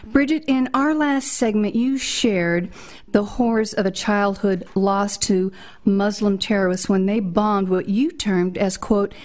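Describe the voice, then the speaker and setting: A woman's voice: medium pitch, measured pace, a little mellow. A woman hosting an interview show, giving a scripted introduction in a dramatic, emphatic way. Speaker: host.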